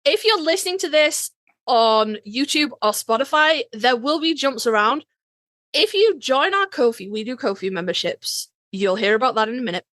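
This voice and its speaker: A woman co-hosting a casual, joking fan podcast, telling things in an animated way and swearing freely. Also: sits high, normal speed. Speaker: podcast co-host.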